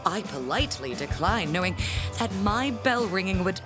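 An actress playing a proud, aggrieved, self-important middle-aged church bell ringer in an audio drama, speaking in a theatrical, haughty, deliberate way. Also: female voice, medium pitch, lively intonation, music in the background, natural voice. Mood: aggrieved, indignant.

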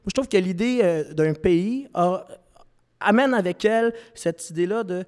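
Young man, Québécois accent, high-pitched, earnest, halting, restarts often, long hall reverb. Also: a little mellow, smooth. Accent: Québécois French. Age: young adult. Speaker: singer-songwriter guest. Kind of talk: panel discussion before a live audience.

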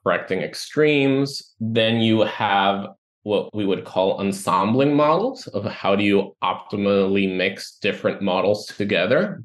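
Low-voiced man from Ecuador, explaining. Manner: explanatory, lists items, some restarts. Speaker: guest, company co-founder and CTO. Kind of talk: podcast interview answers.

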